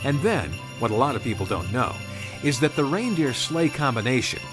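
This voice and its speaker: A man's voice: mid-range voice, animated, moderate pace. A man reading scripted storybook narration of a Christmas tale. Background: music.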